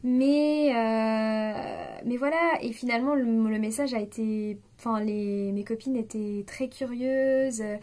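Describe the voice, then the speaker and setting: A woman in her late twenties speaks straight to her viewers in a loose, unscripted way. She stops and restarts often and fills pauses with small hesitations. Her voice sits a little high for a woman. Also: steady tone, darkish tone. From France. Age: 20s. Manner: hesitant, many fillers and restarts, confiding tone. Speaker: vlogger. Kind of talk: spontaneous personal reflection.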